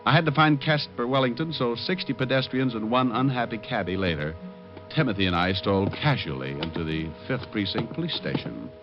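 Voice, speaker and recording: A man's voice: mid-range voice, expressive, brisk, close to an everyday voice. An actor playing a shrewd, wisecracking male private detective with a wry, sardonic manner in old-time radio drama. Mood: wry narration. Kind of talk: 1940s-50s radio acting and narration. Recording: dull old radio recording with music.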